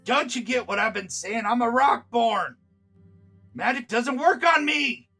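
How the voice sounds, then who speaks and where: A man voicing an indignant, blustering male dwarf who protests loudly with drawn-out exclamations. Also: very high voice, animated, husky, faint music behind, voice pushed up, heavily acted. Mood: indignant, outraged.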